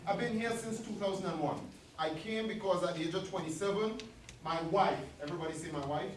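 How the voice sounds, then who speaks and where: A man's voice: high-pitched, fairly quick. A man in his forties from Trinidad and Tobago with a Trinidadian accent, a school principal addressing a community meeting in a friendly, joking way. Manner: friendly, joking, talks to the room. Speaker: school principal, guest speaker. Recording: very echoey hall, distant mic, audible room noise.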